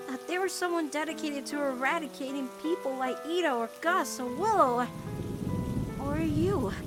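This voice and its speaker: A woman voicing an earnest, emotional teenage girl weighed down by guilt, in an animated-style comic dub, speaking haltingly. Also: high voice, lightly acted. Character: guilt-ridden, self-blaming teenage human girl.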